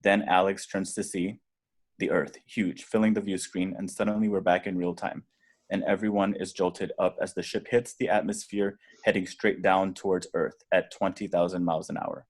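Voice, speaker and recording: A man with a low, deep voice narrates action from a screenplay. He reads deliberately and dramatically, as the stage directions reader of a table read. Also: level tone, slightly rough.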